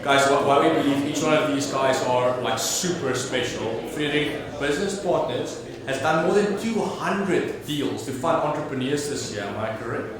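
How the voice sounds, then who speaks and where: South African emcee in an echoey hall. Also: male voice, medium pitch, normal speed, few pauses. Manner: slangy, enthusiastic, casual swearing. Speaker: awards host. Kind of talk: lively stage emceeing. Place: large event hall with audience. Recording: very echoey hall with crowd noise.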